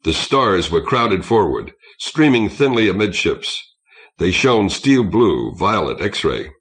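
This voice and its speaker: A man's voice: fairly low pitch, big pitch swings, takes it slowly, a bit bright. A man reading a science fiction novel aloud as scripted audiobook narration.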